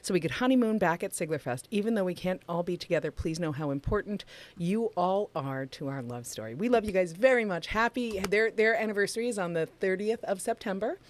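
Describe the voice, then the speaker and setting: A woman's voice: mid-range voice, lively intonation, a little fast. A woman co-hosting a casual livestream chat, gushing over listener photos and reading a fan email with frequent laughter. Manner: warm, effusive, laughs often. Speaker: podcast co-host.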